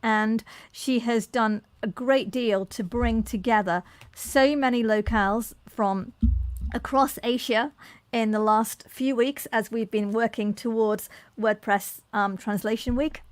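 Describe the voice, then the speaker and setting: A woman's voice: on the high side, steady tone, measured pace, no room sound. Woman moderating a live stream panel, gushing and chatty, dry close mic. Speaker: host of online panel.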